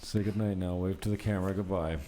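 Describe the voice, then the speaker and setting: Podcast host: man with a deep, mellow voice, easygoing and teasing, chatting informally over light ambient noise. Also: fairly even intonation, fast. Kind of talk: casual chat.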